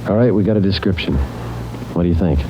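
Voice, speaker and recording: A man's voice: low-pitched, quick pace, natural voice. A man playing a terse, businesslike American police detective in an old radio drama, narrating his case in a clipped, matter-of-fact way. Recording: dull vintage radio recording, loud music behind.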